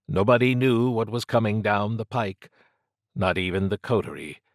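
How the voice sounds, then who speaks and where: A man's voice: fairly low pitch, normal speed, dry recording, studio quality. A man reading scripted dramatic fiction as an audiobook narrator.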